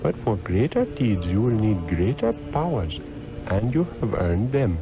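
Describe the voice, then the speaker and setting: An actor playing an aged, gentle master sorcerer, measured and fatherly, in a 1960s radio drama. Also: lowish voice, sing-song. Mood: grave, fatherly. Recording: dull 1960s radio recording, music.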